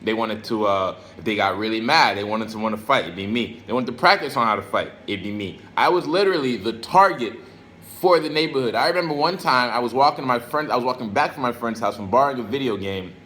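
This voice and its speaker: American man, bright punchy voice, mid pitch, casual self-help vlog, streetwise and emphatic, repeated phrases, faint background noise. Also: fast talker. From Brooklyn and Florida, United States. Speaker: self-help video creator. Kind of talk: casual motivational vlog monologue to camera.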